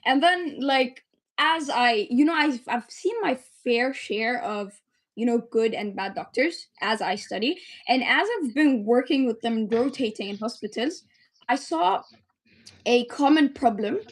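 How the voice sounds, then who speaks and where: Earnest, higher-voiced young woman guest. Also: lively intonation, unhurried. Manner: earnest, chatty, many fillers. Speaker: guest, medical student and startup founder. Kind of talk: interview, telling her story.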